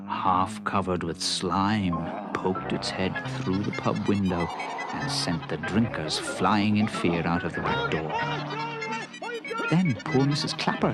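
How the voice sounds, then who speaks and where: Animated man telling a children's story. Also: fairly low pitch, poor audio. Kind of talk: dramatic scripted children's story narration.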